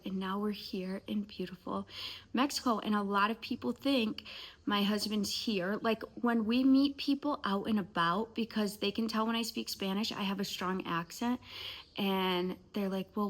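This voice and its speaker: A woman's voice: medium pitch, steady tone. Chatty young woman vlogging from home. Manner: chatty, rambling, quick runs of words with soft hushed stretches. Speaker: family vlogger. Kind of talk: casual vlog storytelling to camera. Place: home indoors, then an outdoor house build site.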